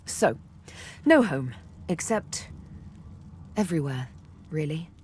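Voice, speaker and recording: A woman's voice: low-pitched, sing-song, takes it slowly, darkish tone, a little hiss or hum, exaggerated voice. A woman voicing a sardonic, vengeful elf huntress for a video game, with arch, teasing, theatrical phrasing. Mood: bitter, wistful. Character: sardonic, vengeful female elf, former slave turned huntress. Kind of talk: video game character dialogue. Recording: background music under the voice.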